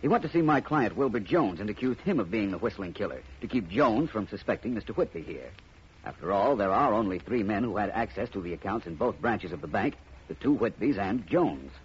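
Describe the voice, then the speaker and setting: An actor playing a shrewd, self-assured detective in a 1950s radio drama, suave and deliberate with wry phrasing. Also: male voice, on the low side, animated, slightly rough. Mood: explaining calmly. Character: shrewd, self-assured private detective explaining his case. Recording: muffled vintage radio recording.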